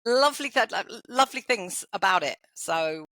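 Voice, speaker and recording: Brooklyn woman host, chatty and playful, bright voice on a dry close mic. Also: medium pitch, animated, moderate pace, dry recording. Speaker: podcast host. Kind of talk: interview conversation.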